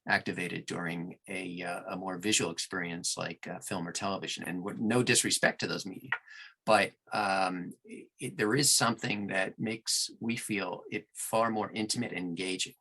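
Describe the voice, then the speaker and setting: Gravelly, very deep-voiced man. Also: fairly even intonation, normal speed, pushed and bright, low-quality recording. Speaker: audiobook narrator and producer, interview guest. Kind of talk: conversational interview answers.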